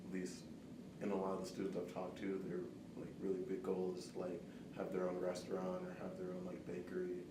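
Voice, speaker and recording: A man's voice: low voice, brisk. A man asking questions as an interviewer in a casual, informal conversational way. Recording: distant, roomy, noisy recording.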